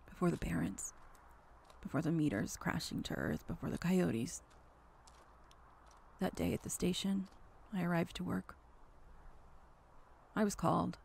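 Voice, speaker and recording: Gravelly woman narrating horror in halting phrases. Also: fairly low pitch, a little mellow. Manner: halting, fragmented short phrases, intense. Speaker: storyteller. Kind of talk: dramatic horror story narration, first person.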